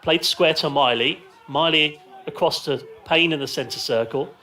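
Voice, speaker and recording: A man commentates live on a football match for radio. His voice sits a little higher than average. He speaks in short, descriptive bursts that follow the ball, calling it from a stand at the ground. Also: unhurried, bright, forceful tone. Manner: short clipped descriptive phrases, lifting with the play.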